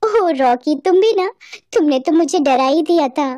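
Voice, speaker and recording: A woman's voice: high-pitched, animated, a little slow, a little mellow, soft background music. A woman voicing a naive, emotional teenage schoolgirl in a cartoon story, with a girlish, pleading manner. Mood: playful.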